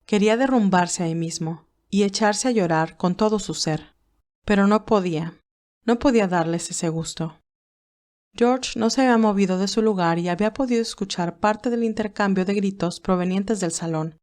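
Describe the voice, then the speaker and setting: A woman narrator reading a romantic drama as a scripted audiobook, in a medium-pitched voice. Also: moderate pace, a little mellow.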